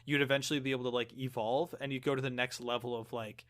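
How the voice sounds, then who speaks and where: A man's voice: mid-range voice, little pitch movement, fast talker, a bit bright. Man chatting casually, rambling explainer. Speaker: podcast co-host. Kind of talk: casual gaming chat.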